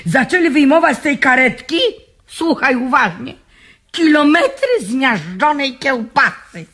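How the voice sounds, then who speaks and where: A woman's voice: high-pitched, animated, a little slow, a bit bright. Fretful doting old mother. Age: older. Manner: fussy, worried, repeats words. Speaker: mother of a young businessman. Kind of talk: comic radio play acting.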